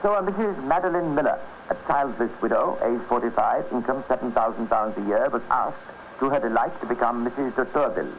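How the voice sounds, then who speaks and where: A male actor as an urbane storyteller rattles through a crime tale with dry irony. The delivery is brisk and scripted, in old radio drama style, on a clearly noisy vintage recording. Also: medium pitch, natural voice. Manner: dry, ironic. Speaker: narrator.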